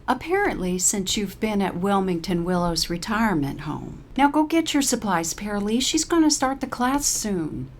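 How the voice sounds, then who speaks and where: A woman's voice: medium pitch, very animated, moderate pace, few pauses, a little hiss or hum, voice pushed up. A woman voicing an insistent, chiding old woman, a retirement-home friend in a comic story.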